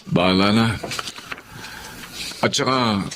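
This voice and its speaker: A man's voice: fairly low pitch, slow pace, noisy background. A man acting a grieving, stubborn, hard-drinking widower in a Filipino radio drama, with dramatic, weary delivery. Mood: jovial, careless.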